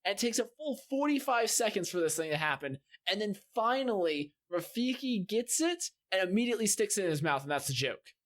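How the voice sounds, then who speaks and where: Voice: mid-range voice, very animated, a little fast, hardly any pauses, a bit bright. A podcast co-host chatting casually and telling stories in an emphatic, exasperated, comic rant. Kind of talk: casual chat, comic ranting.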